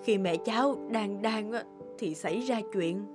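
A woman's voice: mid-range voice, unhurried, close to an everyday voice. An actress playing a concerned village market woman in a cartoon, earnest and folksy. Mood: sad, explaining. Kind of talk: cartoon dubbing. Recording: clear music under the voice.

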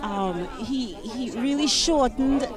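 A woman's voice: fairly high pitch, animated, takes it slowly. A young woman hosting a red carpet interview, playful and gushing, speaking into a handheld mic outdoors. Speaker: entertainment interviewer. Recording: outdoor event with crowd noise. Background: crowd chatter.

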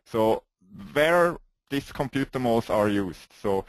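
A man with a strong Swiss accent presenting a company webinar, pausing often with "uh" fillers. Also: mid-range voice, expressive, no room sound. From Switzerland. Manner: hesitant, frequent "uh" fillers, non-native phrasing.

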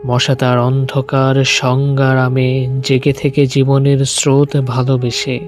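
Male poetry reciter, solemn and deliberate, extremely slow delivery, restrained pitch movement, long pauses between short phrases. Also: monotone. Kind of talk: solemn dramatic poem recitation. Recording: music bed under the voice. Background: music.

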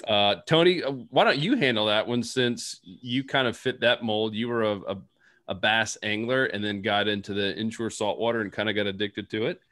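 A man's voice: fairly low pitch, moderate pace, fairly bright tone. A young man hosting a casual fishing panel over a video call, playful and joking as he moves between questions. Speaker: host.

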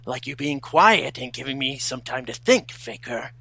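A man voicing a brooding, peevish hedgehog rival in a fan-fiction reading, husky and breathy, with curt, condescending delivery. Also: medium pitch, animated, moderate pace, sharp tone, exaggerated voice. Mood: irritated.

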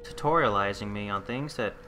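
A man's voice: lowish voice, brisk, sharp tone, slight background noise. A man playing through a game and commenting on it, exasperated and swearing at enemies, talking to them as he fights. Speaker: let's play commentator. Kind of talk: gameplay commentary. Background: game music and sound effects.

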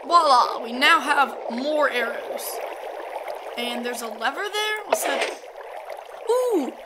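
A woman talking casually while playing a game, chatty and easily excited, with a sore throat that makes her voice crack. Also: high-pitched, slow pace, heavy background noise. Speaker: gamer. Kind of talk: casual gameplay commentary.

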